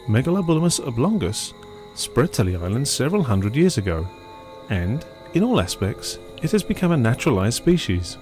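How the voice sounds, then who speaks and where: A man giving scripted narration for a public information film in a measured, informative way. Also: mid-range voice. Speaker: documentary narrator.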